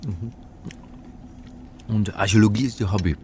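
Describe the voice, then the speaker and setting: Guy acting a wry flustered scholar in a radio play, lowish voice, hesitant, sarcastic, bit of noise. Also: moderate pace, darkish tone, lightly acted. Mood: wry, guarded. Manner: hesitant, sardonic, exasperated. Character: flustered, wry male scholar caught in trouble. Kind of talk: radio drama dialogue and first-person narration.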